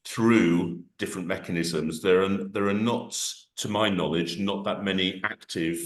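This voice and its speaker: Deep-voiced man, halting, echoey room. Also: fairly even intonation. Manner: hesitant, many restarts and fillers. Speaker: event organiser and moderator. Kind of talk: online panel discussion. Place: home, on a video call. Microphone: video call. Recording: echoey room on a video call.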